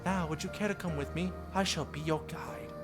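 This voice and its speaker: Casting: man to play a gracious visual novel mermaid, florid and reassuring, slightly high male register, music underneath. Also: fairly even intonation, a little fast, lightly acted. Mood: gracious, coaxing. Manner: florid, formal, old-fashioned phrasing. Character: courteous, reassuring female mermaid, silkily formal.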